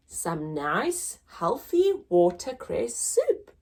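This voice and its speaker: A woman's voice: fairly low pitch, huge pitch swings, extremely slow, creaky, exaggerated voice. A woman voicing a bossy, determined mother elephant in a children's storybook, speaking sternly and emphatically. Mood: brisk, bossy.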